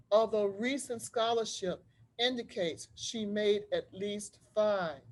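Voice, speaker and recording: A woman reading a storybook aloud to children, explaining things simply and deliberately like a school librarian. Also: mid-range voice, rough recording. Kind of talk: read-aloud storytelling with teacherly asides.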